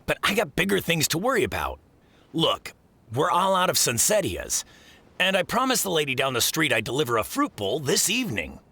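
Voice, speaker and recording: An actor playing a middle-aged fruit shop boss in a video game, blunt, grumbling and folksy but fair. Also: male voice, fairly high pitch, huge pitch swings, brisk, pushed and bright, slightly rough, full character voice. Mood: exasperated, grumbling. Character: gruff working-class male fruit shop boss, exasperated but fair. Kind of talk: video game character acting.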